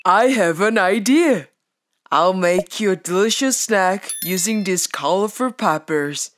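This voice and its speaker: Woman as a slow-talking, kind cartoon elephant. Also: mid-range voice, lively intonation, slight background noise, natural voice. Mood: cheerful. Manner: gentle, cheerful, instructing simply. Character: kind, cheerful elephant who loves cooking. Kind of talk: children's puppet show voice acting. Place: studio voice-over. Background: children's music.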